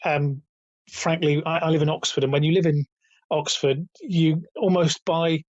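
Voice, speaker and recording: Interview guest: raspy, slightly high-pitched man in his fifties, halting delivery with restarts, dry muffled call audio. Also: fairly even intonation, a little mellow, dry recording. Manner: hesitant, many restarts, chuckles between points. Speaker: guest, retail author and company founder. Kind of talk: casual interview over a call.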